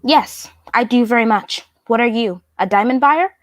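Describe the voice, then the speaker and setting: Woman playing high-voiced haughty society hostess. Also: moderate pace, no echo, studio quality, natural voice. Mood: indignant. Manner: theatrical, imperious. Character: flirtatious, haughty, quick-tempered French society hostess. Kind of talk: radio drama acting.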